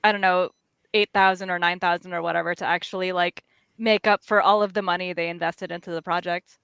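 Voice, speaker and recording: A woman's voice: fairly low pitch, steady tone, a little fast, gravelly, fairly bright tone, clean tone, dry recording. A woman chatting casually in a group, blunt and slangy, with a wry, exasperated manner. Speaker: podcast panelist, comic artist.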